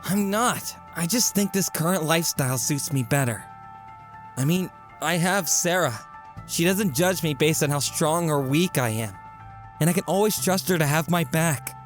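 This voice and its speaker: A man playing a guilt-ridden, defensive teenage son in a comic dub, hesitant and evasive, halting as he talks. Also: fairly high pitch, expressive, faint music behind, natural voice. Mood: resigned. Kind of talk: amateur comic dub voice acting.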